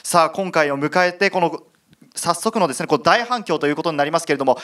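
A man hosting a stage event as emcee, announcing with emphatic, drawn-out phrasing. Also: on the high side, a bit bright. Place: cinema stage.

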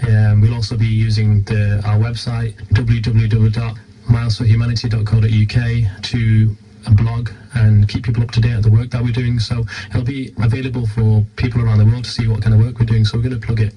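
A man's voice: lowish voice, flat delivery, fairly quick, dark tone, breathy edge, low-quality recording. A British man giving an informal radio interview as a guest, explaining things earnestly. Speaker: charity team leader, radio interview guest.

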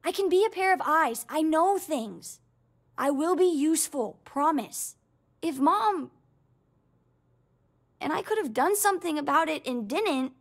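A woman's voice: sits high, expressive, measured pace, long pauses. A woman voicing a plucky, stubborn young daughter in a video game, speaking in an earnest, insistent way. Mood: determined, pleading. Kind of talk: video game dialogue acting.

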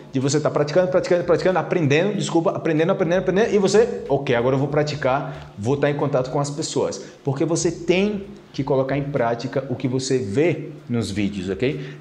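A Brazilian man in his thirties teaching a casual video lesson to camera on a lapel mic, animated and chatty, tagging his sentences with little questions. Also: mid-range voice, roomy sound. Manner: animated, gesturing a lot with his hands; chatty, with many tag questions. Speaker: Portuguese teacher. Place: plain-walled room indoors.

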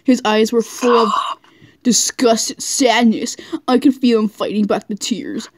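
Giggly, high-voiced woman reading aloud. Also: steady tone, measured pace, no room sound. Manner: giggly, often breaking off and stumbling over words. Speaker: amateur reader of fan fiction. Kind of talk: casual, goofy read-aloud of a story.

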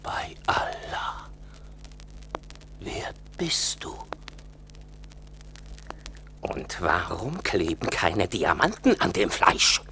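Startled merchant, audio play: halting, wary questioner with a gravelly, tense half-whisper. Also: male voice, low voice, very animated, bright, forceful tone, full character voice. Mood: shocked, wary. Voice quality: breathy, creaky half-whisper. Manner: slow, halting, astonished.

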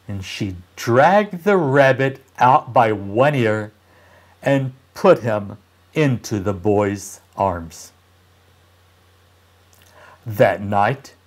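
Very slow, animated older male storyteller. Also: mid-range voice. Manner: deliberate, dramatic pauses and emphasis. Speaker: teacher reading a children's story aloud. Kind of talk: expressive read-aloud storytelling for young children. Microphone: lapel mic.

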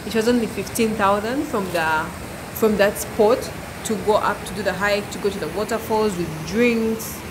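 A woman's voice: mid-range voice, normal speed, noisy background. Upbeat woman travel vlogger. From Uganda. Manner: upbeat, chatty, addresses viewers directly. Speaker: travel vlogger, channel host. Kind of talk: travel vlog to camera. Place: outdoors by a waterfall. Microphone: selfie phone at arm's length.

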